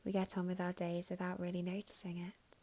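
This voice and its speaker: A woman guiding a meditation in a soft, soothing, unhurried way with long pauses, her voice breathy and slipping toward a whisper at phrase ends. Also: on the low side, monotone. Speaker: meditation guide. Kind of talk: guided meditation narration.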